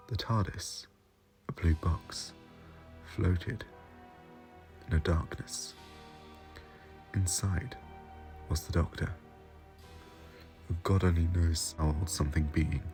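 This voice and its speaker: A man delivers scripted story narration. His pitch is deep and his pace very slow. Music plays prominently beneath the voice.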